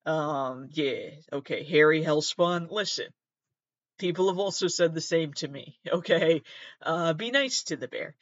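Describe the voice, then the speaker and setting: A man with a fairly high voice gives loose, off-the-cuff reaction commentary. He is sardonic and sweary, often half talking to himself. The sound is slightly dull and lo-fi. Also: measured pace, a bit bright, no room sound. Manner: sardonic, sweary asides and muttered self-talk. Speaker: host. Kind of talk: casual rambling reaction commentary, reading aloud from web articles.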